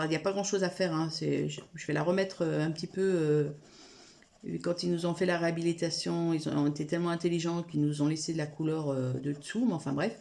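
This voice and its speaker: A woman's voice: lowish voice, creaky, a little mellow. A woman chatting casually to camera from a room at home, rambling with many fillers and restarts, with a cold. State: has a cold. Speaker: coloring vlogger.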